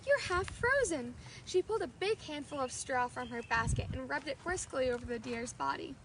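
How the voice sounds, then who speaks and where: A woman's voice: sits high, animated, a little fast, background noise. A woman storyteller reading a children's fairy tale aloud.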